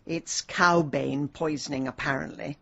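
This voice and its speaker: A woman playing a sharp, ambitious middle-aged English amateur sleuth in a radio drama, with a brisk, wry, dry delivery. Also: low-pitched, steady tone, vocal fry, muffled recording, background noise. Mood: defensive, matter-of-fact.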